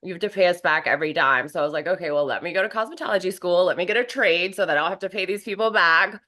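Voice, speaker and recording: A woman from North Carolina, hoarse from a cold, chatting as a guest in an interview with lots of fillers. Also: fairly low pitch, very fast, sharp tone. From North Carolina, USA. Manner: chatty, rambling, many fillers. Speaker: guest, professional wrestler. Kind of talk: casual interview conversation.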